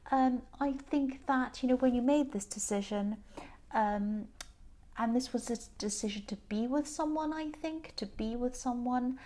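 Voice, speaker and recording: A woman with a slightly croaky, tired voice giving a casual tarot reading, gentle and meandering with many ums and restarts. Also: on the high side, measured pace. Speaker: tarot reader.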